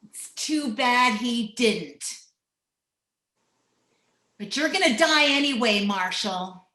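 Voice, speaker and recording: A woman's voice: fairly high pitch, lively intonation, slow pace, sharp tone, heavily acted. A woman playing a mean, vengeful older rancher's wife in a radio western, with blunt rural speech. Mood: bitter, threatening. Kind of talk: old-time radio western acting. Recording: roomy, echoing home video-call recording.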